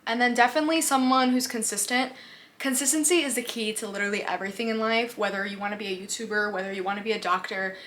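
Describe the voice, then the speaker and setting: Young woman, twenties, from the US, casual vlog Q&A, bright voice, slightly high, chatty, laughs easily, talking to camera. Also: steady tone, fast. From United States. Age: 20s. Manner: chatty and upbeat, laughs easily, says "like" often. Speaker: vlogger. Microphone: camera mic, talking to camera.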